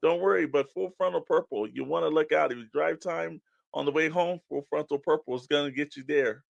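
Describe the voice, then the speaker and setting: Gravelly, higher-pitched male podcast co-host. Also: a little fast, no room sound, highs cut off. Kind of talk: casual podcast chat.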